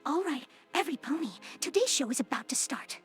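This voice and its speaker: A woman's synthetic voice acting a brisk pony nurse from a cartoon audio drama. She is quite high in pitch and politely announces things, with music underneath. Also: lively intonation, fairly bright tone. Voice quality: synthetic text-to-speech voice. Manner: courteous, announcing.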